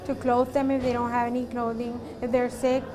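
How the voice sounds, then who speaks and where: A woman's voice: a little high, level tone, a little fast, crowd noise. A woman church aid volunteer giving an interview answer.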